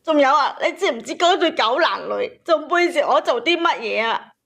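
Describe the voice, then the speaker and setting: Female caller, Cantonese accent, very high voice, emotional first-person storytelling with emphatic repetition. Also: expressive, normal speed, gravelly, fairly bright tone. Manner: agitated, emphatic, repeats phrases. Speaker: caller telling her own story.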